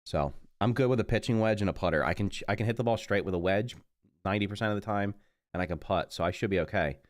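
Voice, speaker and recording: A man's voice: fairly low pitch, fast, dry recording, studio quality. A middle-aged man livestreaming a game who sounds congested and rambles in casual chat, often correcting himself mid-sentence. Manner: rambling, self-correcting, mutters while busy. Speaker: livestreaming gamer. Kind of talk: casual live stream chat.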